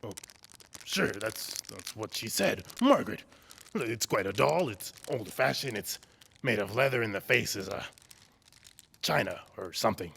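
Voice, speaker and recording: Man playing a very gravelly, shifty neighbour. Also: lowish voice, lively intonation, fairly bright tone, heavily acted. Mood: nervous, coaxing. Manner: halting, evasive, edgy. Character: shifty, menacing neighbour man hiding a guilty secret. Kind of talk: old-time radio drama acting.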